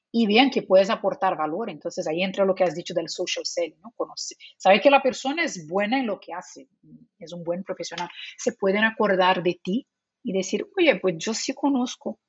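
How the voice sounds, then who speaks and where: Husky Brazilian woman on a video call. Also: mid-range voice, no room sound, lo-fi sound. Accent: Brazilian Portuguese. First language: Portuguese. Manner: explanatory, laughs easily, many fillers. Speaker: guest, remote work expert. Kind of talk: casual interview talk.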